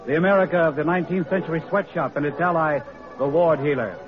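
A man's voice: a little high, fast talker, natural voice. An actor as a solemn male narrator, delivering declamatory scripted narration in an old radio drama. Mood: solemn, ominous. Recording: muffled old radio recording. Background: loud music.

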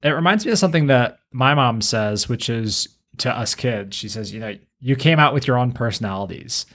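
A man's voice: mid-range voice, lively intonation, brisk, a bit bright. A man hosting a podcast, talking casually and enthusiastically as a fan. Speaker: podcast host.

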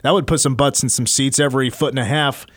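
A man hosting a sports talk radio show, chatty and rambling, his voice getting a little hoarse. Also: medium pitch, steady tone, fast talker, fairly bright tone, no echo, clean studio sound. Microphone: studio microphone.

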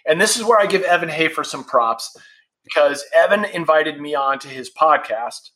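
A man's voice: fairly high pitch, lively intonation, normal speed, sharp tone, low-quality recording. A man in his fifties, a guest on a podcast, talking candidly and bluntly in casual conversation. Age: 50s. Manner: candid and blunt, swears freely. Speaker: podcast guest, founder of a supplement brand.

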